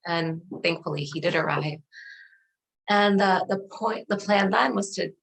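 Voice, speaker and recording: A middle-aged woman from Afghanistan and Pakistan, a native Dari speaker, tells her personal story in a measured, reflective way as an interview guest. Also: on the low side, vocal fry, fairly bright tone, poor audio.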